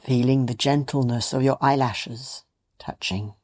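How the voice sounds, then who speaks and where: A meditation teacher gently leading a guided meditation in a soothing, unhurried way with long pauses. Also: medium pitch, steady tone. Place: meditation retreat.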